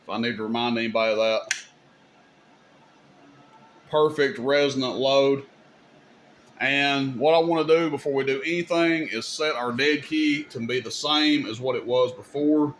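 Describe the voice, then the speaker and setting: Casting: male demo host, slightly low voice, informal and opinionated, casual explainer delivery, audible background noise. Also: fairly even intonation, moderate pace, pauses often, a bit bright. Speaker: host demonstrating radio gear. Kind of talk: casual hands-on demonstration. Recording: clear background noise, room ambience.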